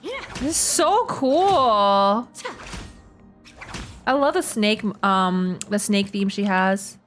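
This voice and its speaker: Female game streamer, medium pitch, casual slangy reaction commentary, jokey and repetitive, light music underneath. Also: expressive, drawn-out words, a bit bright. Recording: faint game music behind.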